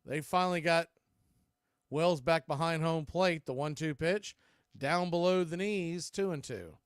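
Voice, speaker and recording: A man's voice: a little high, a little slow, clear, resonant tone, dry recording, clean studio sound. An American man calling a baseball game live as play-by-play host, with folksy, conversational asides. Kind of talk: live sports commentary with casual asides.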